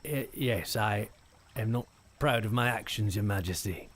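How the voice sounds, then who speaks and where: A man playing a courteous, humble knight in a fantasy audio drama, speaking formally and with deference. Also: fairly low pitch. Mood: apologetic. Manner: formal, deferential, slightly halting. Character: courteous, contrite knight addressing a princess.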